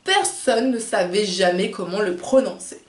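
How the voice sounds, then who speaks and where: The recording is roomy, with clear echo around the voice. A woman with a mid-range voice presents as the host of a video. She narrates from prepared text and reads quotations aloud. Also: mid-range voice, a little slow. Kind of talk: scripted narration with read-out quotes. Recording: roomy, echoing sound.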